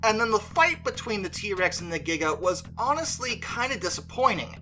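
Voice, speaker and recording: Bright, emphatic movie review commentator. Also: medium pitch, sing-song, normal speed, faint music behind. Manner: emphatic, conversational, stretches stressed words. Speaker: video creator and host.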